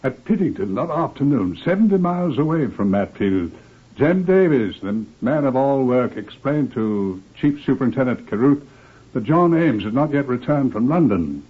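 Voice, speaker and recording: A man's voice: mid-range voice, very animated, normal speed, husky. A man narrating a scripted crime mystery in the manner of old-time radio drama. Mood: measured, grave. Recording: muffled, band-limited vintage radio recording.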